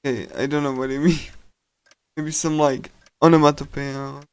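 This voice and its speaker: A man streaming a visual novel, casually reading and translating game text aloud in a halting, hesitant way. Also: mid-range voice, level tone, clear, resonant tone, no echo. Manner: hesitant, halting, with small chuckles.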